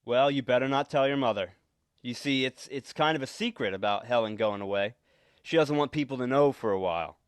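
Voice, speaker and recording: A man plays a shifty husband in an old-time radio thriller. His mid-pitched voice is dry and close. He stammers and repeats himself, evasive and nervous, with menace underneath. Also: fairly quick, smooth, no echo, natural voice. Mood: nervous, secretive. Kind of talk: 1940s radio suspense acting.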